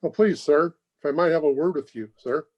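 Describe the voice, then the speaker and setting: A man's voice: a little high, a little fast, natural voice. A man playing a brash, flippant, jaunty young suitor in an old-time radio drama. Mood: defiant. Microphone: video call. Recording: dull lo-fi video call.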